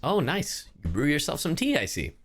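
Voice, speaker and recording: A game streamer giving casual livestream reactions, playful, exclamatory and quick to swear. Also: medium pitch, wildly swooping pitch.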